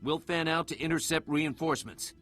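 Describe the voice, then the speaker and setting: An actor playing a wary, suspicious army sergeant in a video game, with a blunt, familiar manner. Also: male voice, fairly high pitch, brisk, fairly bright tone, soft background music, lightly acted. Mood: brisk, urgent.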